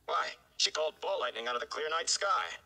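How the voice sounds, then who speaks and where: A man voicing a stout old village mayor, flustered and blustering, with an emphatic, excitable delivery in a storybook reading. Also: on the high side, a little fast, vocal fry, voice pushed up, full character voice. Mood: excited.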